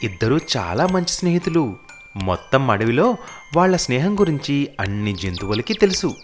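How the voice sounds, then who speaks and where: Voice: mid-range voice, big pitch swings, normal speed, faint music behind. A storyteller narrating a scripted animal fable for children.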